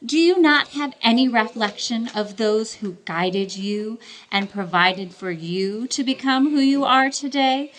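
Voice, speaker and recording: Woman as stern senior nun, radio drama, slow delivery, widely swinging pitch, reproachful, measured. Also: animated, a bit bright, a little hiss or hum, poor audio. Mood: admonishing. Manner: measured, admonishing. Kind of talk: stage radio drama acting.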